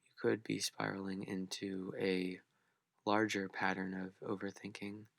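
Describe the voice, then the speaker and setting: A man leading a beginners' guided meditation, speaking gently and unhurriedly with long pauses. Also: deep voice, flat delivery, creaky, no room sound, clean studio sound.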